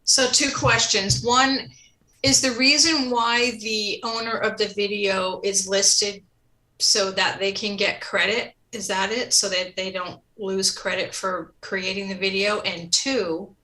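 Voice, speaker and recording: A woman's voice: mid-range voice, fairly even intonation, slow, bright, forceful tone, poor audio. A woman in an online group meeting asking a speaker direct questions.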